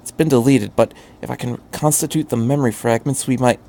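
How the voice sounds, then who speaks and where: A man's voice: medium pitch, fairly quick, vocal fry, dark tone. A man voicing an intense, eccentric alien scientist hero in a fan story, with a grim, urgent and emphatic manner. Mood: calculating.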